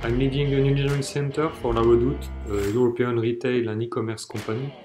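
A man in his thirties from France with a French accent, giving a recorded conference talk from a room at home in a halting way full of fillers and restarts. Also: medium pitch, flat delivery, darkish tone, soft background music. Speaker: conference speaker.

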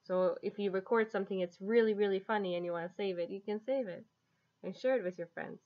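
A woman's voice: mid-range voice, quick pace, darkish tone. A woman in her twenties chatting casually as a vlogger, recorded on a webcam at home. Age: 20s. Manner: giggly. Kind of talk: casual chatty app demo. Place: small room at home. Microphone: webcam at desk distance. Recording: dull lo-fi webcam sound.

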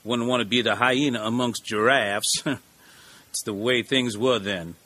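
A man's voice: mid-range voice, fairly even intonation, measured pace, bright, forceful tone. A man playing a genial, eccentric middle-aged small-town lawyer in an audio drama, with a folksy, rambling storyteller's manner. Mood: folksy storytelling.

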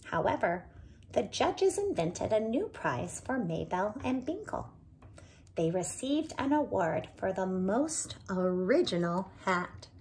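A woman's voice: medium pitch, sing-song, slow pace, a little mellow, a little hiss or hum. A woman in her thirties, a teacher reading a picture book aloud to children, adding friendly asides and explaining words, recorded close on a phone camera in a small room at home. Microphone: phone or laptop camera close.

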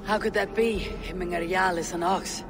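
High-pitched steadfast Viking warrior. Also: male voice, fairly even intonation, normal speed, music in the background. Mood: resolute. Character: young adult male Viking warrior, steadfast, loyal, wry. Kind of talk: video game cutscene acting.

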